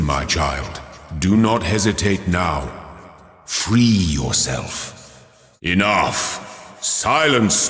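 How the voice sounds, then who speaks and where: An actor playing a solemn godlike AI deity in a video game, speaking in grand, measured, paternal proclamations with cavernous reverb. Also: male voice. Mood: wrathful command. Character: solemn godlike AI father-deity ruling his garden. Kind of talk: scripted video game voice acting, scriptural proclamations. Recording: booming long hall reverb.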